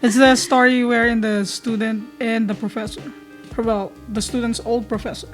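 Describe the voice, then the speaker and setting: A woman's voice: on the high side, flat delivery, normal speed, soft background music. A woman from the Philippines with a Filipino accent and Tagalog as her first language, chatting easily as co-host. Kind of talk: casual chat.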